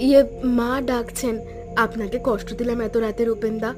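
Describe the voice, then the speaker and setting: A woman playing a troubled, soft-hearted young village wife in a cartoon drama, reticent and pleading. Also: on the high side, steady tone, normal speed, over music, close to an everyday voice. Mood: polite, uneasy. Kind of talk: Bengali cartoon drama acting.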